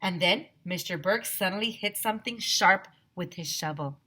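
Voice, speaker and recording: A woman teacher reading a children's story aloud, narrating in an expressive, emphatic way. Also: lowish voice, moderate pace, husky.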